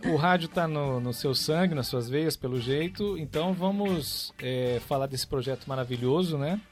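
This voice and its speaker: Middle-aged man, Brazilian accent, fairly high pitch, relaxed casual interviewing, faint music behind. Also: moderate pace. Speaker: radio host. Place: radio studio.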